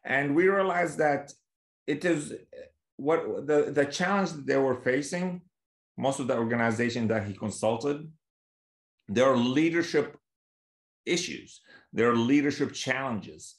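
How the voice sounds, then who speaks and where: A man's voice: medium pitch, a little slow. A middle-aged man from Kuwait giving an informal webinar talk in a hesitant, conversational way. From Kuwait, Palestinian by origin. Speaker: guest speaker, leadership consultant. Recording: echoey room on webinar microphone.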